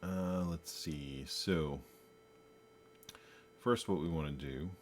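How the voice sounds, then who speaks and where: A man's voice: extremely low pitch, takes it slowly, a little mellow, a little hiss or hum. A man hosting a programming tutorial, thinking aloud as he codes, tired, with many ums and uhs. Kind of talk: casual screen-recorded coding walkthrough.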